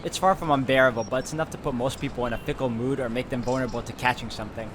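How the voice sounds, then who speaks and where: A man's voice: mid-range voice, rapid-fire, noisy background. A man playing through a visual novel, reading its narration aloud and adding his own asides. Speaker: let's player. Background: background game music.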